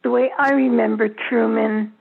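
A woman's voice: fairly high pitch, steady tone, moderate pace, gravelly, husky. A woman chatting casually as a member of a book discussion group, over a tinny phone line.

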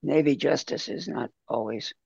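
A man chatting casually in a book club, talking in a halting, rambling way. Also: mid-range voice, creaky, darkish tone, husky, dry recording, muffled recording. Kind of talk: casual group chat.

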